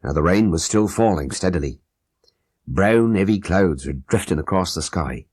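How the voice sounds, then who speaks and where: A man's voice: low voice, lively intonation, normal speed, darkish tone, slightly rough, no room sound, studio quality. A man playing an old-time ex-soldier who earnestly and vividly recounts his crime in an audiobook. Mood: tense recounting. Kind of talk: audiobook character narration.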